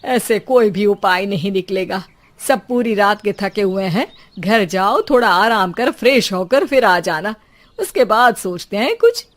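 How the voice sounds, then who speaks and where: Casting: female voice for level-headed cartoon neighbourhood man, mid-pitched, sceptical, teasing. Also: animated, a little slow, lightly acted. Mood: practical. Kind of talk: Hindi cartoon story acting.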